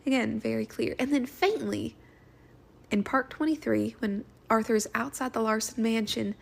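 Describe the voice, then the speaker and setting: A woman's voice: a little high, fairly even intonation, normal speed, gravelly. A woman recording a listener voice message with reflective fan commentary.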